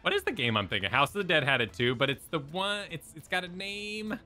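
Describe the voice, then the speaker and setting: A man in his thirties co-streaming a game from home, animated, reading game text aloud. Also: on the high side, fast. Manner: animated, reads on-screen text aloud, racks his memory. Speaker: streamer co-host. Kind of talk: casual co-op game chat. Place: home room with bookshelves. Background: faint game music.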